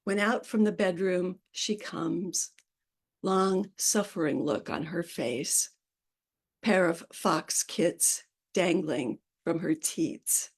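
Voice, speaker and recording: A woman reading her own poetry aloud at a live event, with a slow, measured delivery and hesitant asides between poems. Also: on the low side, slightly rough. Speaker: poet reading her work.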